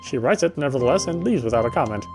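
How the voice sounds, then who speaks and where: A man's voice: mid-range voice, animated, fast talker, music in the background. A man reading visual novel text aloud as he plays, stumbling and rereading now and then with casual asides. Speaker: game streamer reading aloud.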